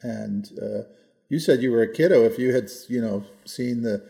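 An American man in his sixties in a reflective, conversational policy discussion, measured and often qualifying his own points. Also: mid-range voice, gravelly, dark tone. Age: 60s. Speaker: podcast co-host.

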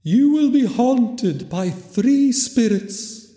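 A man voicing a mournful male ghost in chains, solemn and drawn-out, with an echoing reverb effect. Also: extremely high pitch, expressive, dark tone, voice pushed up, full character voice. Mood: solemn warning. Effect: hall reverb. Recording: long echoey reverb.

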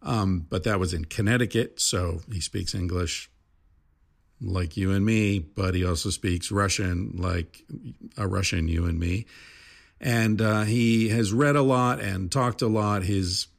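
A man's voice: low voice, unhurried, gravelly. A middle-aged man hosting a podcast, talking casually and rambling, with lots of fillers and the odd swear word. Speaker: podcast host. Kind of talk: casual podcast intro monologue.

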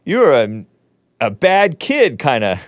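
A man's voice: mid-range voice, wildly swooping pitch, unhurried, no room sound. A man hosting a talk show, chatting casually, laughing easily and trailing off into fillers. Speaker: show host.

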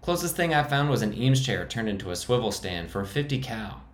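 A man's voice: mid-range voice, sing-song, a little fast, natural voice. A man playing a fussy male survivor, snobbish and exasperated in his talk. His voice is a touch raised, echoing in a roomy space. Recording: roomy echo.

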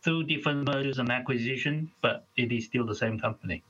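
A man's voice: mid-range voice, a little fast, fairly bright tone. Man from Malaysia on a dull line. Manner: chatty, listing details. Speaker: guest. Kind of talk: casual interview talk.

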